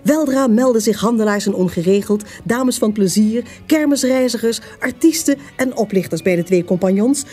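A woman narrating a radio play in a measured, formal storytelling manner. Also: medium pitch, warm tone, breathy edge.

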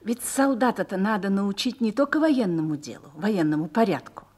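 A woman's voice: mid-range voice, lively intonation, normal speed, a little mellow, slightly rough. An actress playing a seasoned, motherly garrison officer's wife who instructs earnestly and persuasively in a Russian radio play.

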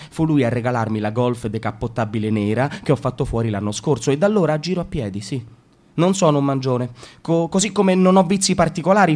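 A man's voice: medium pitch, a little mellow, natural voice. A man playing a cocky, self-destructive young slacker in a radio drama, rambling and interrupting himself as he confesses. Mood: offhand, self-assured. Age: young adult. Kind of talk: theatrical radio drama monologue.

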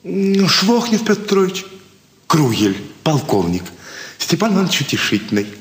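Fawning inn servant, echoey room. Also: male voice, on the high side, big pitch swings, moderate pace. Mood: servile, confiding. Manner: servile, folksy, deferential. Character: obsequious, wily male inn servant. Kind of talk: theatrical classic stage comedy acting.

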